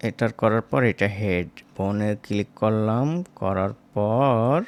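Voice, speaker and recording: A man teaching as a software instructor, informal and step by step, often checking in with short tag questions. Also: on the low side, a little slow, clean tone. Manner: conversational, seeking agreement with short tag questions. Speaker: animation tutorial instructor.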